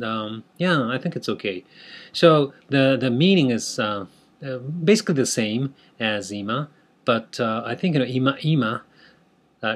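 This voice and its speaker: Hesitant Japanese-accented man explaining slang. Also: medium pitch. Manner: hesitant, many fillers and restarts. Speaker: language teacher. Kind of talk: unscripted explainer video.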